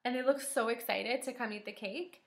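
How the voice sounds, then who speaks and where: Young woman, kindergarten teacher, high bright voice, encouraging, deliberate articulation, read-aloud lesson, echoey room. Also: gravelly. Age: young adult. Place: small room at home, indoors. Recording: roomy, echoing home video.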